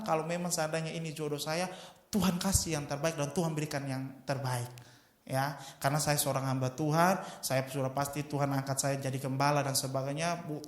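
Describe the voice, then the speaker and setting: High, bright young male preacher. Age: 20s. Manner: emphatic preaching, addresses congregation often. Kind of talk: sermon with personal testimony. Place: church hall. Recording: echoey church hall.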